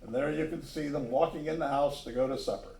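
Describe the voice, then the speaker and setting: A man reading a children's story aloud, pausing to add simple asides about the pictures. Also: on the high side, vocal fry, a little mellow. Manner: pauses to point out the pictures. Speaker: storybook reader. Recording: echoey room, distant microphone.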